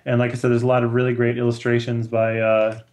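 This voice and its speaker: A man in his thirties chatting casually as a guest, explaining in a halting way with many fillers. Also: mid-range voice, completely flat delivery, a little mellow. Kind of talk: casual book recommendation chat. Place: small room at home, on a video call.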